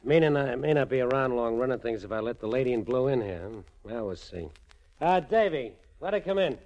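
Through a lo-fi vintage radio recording comes a tough, wary American racket boss played by a male actor. He talks in clipped gangster slang with a lively rise and fall. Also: mid-range voice, brisk, lightly acted. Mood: curt. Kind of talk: 1940s radio crime drama acting. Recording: muffled old 1940s radio recording.

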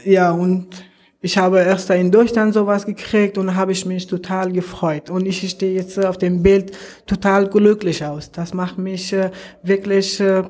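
A young man from Afghanistan with an Afghan accent, answering interview questions in slow, halting learner's German. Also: sits high, little pitch movement, a little mellow. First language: not German. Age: young adult.

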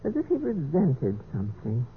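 Concerned radio wife, tinny sound. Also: female voice, sits low, wildly swooping pitch, moderate pace. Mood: mildly concerned. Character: gentle, concerned, trusting wife. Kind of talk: 1940s-50s radio mystery acting. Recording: tinny, faintly noisy vintage radio recording.

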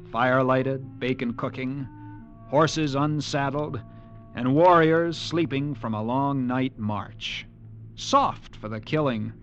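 An actor playing an earnest, uneasy young cavalry lieutenant, telling his story in measured, literary tones for a radio drama. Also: mid-range voice, muffled recording, close to an everyday voice. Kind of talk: dramatic first-person narration, radio drama acting. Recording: old radio broadcast, music behind.

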